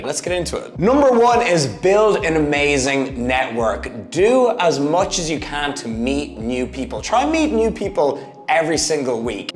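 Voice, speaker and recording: Man, thirties, upbeat motivational vlogger; roomy echo, soft music bed. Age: 30s. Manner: energetic, emphatic, swings from loud bursts to low asides. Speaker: fitness YouTuber. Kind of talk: motivational vlog monologue. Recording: echoey room with faint music. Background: faint background music.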